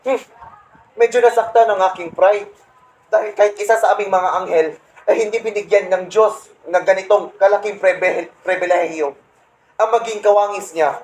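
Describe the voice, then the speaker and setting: Satan for a comic radio play, acted by a young man: high voice, pompous, bitter, scornful, in an echoey room. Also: steady tone, moderate pace, a little mellow, natural voice. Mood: boastful, aggrieved. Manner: pompous, scornful, punctuated with cackling laughs. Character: boastful, resentful male Satan, fallen angel leader of demons. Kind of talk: comedic radio play acting. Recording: roomy echo, faint background noise.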